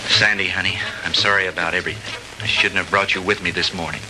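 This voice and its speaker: An actor playing a protective, determined captive in a 1960s B-movie, speaking earnestly and tenderly on an old film soundtrack. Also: male voice, deep voice, fairly even intonation, fast talker, over music, natural voice, low-quality recording. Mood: weary, tender resolve. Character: protective, determined male captive plotting escape, apologetic to sweetheart. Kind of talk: 1960s B-movie drama acting.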